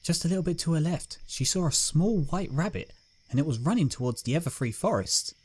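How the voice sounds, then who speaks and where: A man's voice: fairly high pitch, expressive, brisk, husky. A man narrating a dramatic scripted story as its reader.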